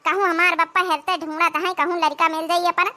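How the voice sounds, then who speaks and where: A woman's voice: very high-pitched, little pitch movement, fairly quick, bright, forceful tone. A woman with an Awadhi accent voicing a long-suffering, dutiful young village stepdaughter in a comic cartoon. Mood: weary, aggrieved. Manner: plaintive.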